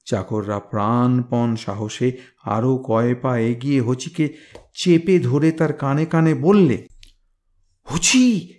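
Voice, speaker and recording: Male narrator, mid register, unhurried and expressive, for dramatic folktale readings. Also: mid-range voice. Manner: measured, expressive. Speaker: storyteller, reader.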